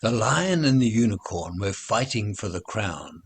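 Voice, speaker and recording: Husky, unhurried man reciting nursery rhymes. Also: lowish voice, expressive. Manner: sing-song, deliberate phrasing. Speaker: narrator reading nursery rhymes. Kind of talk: slow expressive recital of children's verse.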